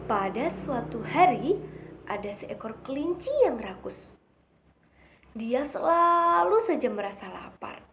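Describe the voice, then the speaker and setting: A young woman telling a children's fable in an expressive storytelling style. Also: high voice, slow pace, a little hiss or hum, rough recording.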